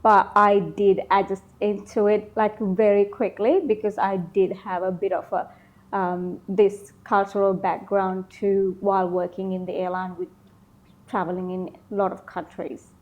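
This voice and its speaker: A woman from Sri Lanka, in her thirties, answering interview questions casually, often pausing with ums and likes. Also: medium pitch, flat delivery, narrow, tinny audio. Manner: hesitant, many ums and likes. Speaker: interview guest, beauty business founder.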